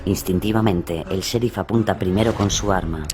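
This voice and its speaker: A man's voice: low-pitched, normal speed. A man narrating a scripted suspense and horror radio drama.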